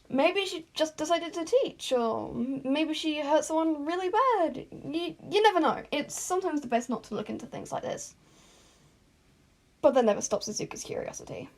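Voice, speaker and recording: A woman narrating fan fiction as an amateur, in an informal, self-conscious way with retakes and asides. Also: high-pitched. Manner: informal, self-conscious, often stumbles and re-says lines.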